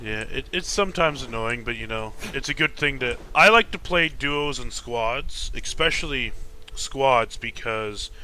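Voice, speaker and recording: A man of twenty-one with a deep voice, chatting casually as he streams a video game. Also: animated, a little slow, bright, forceful tone, low-quality recording. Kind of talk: casual livestream chat while gaming. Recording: game music behind the voice. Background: video game music.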